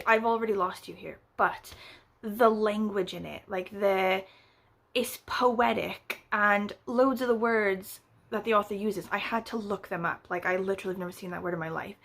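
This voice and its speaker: A woman's voice: mid-range voice, fairly bright tone. A British woman in her thirties, chatting at home on camera as a book vlogger, rambling with lots of fillers and casual swearing. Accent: British English. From United Kingdom. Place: at home, indoors.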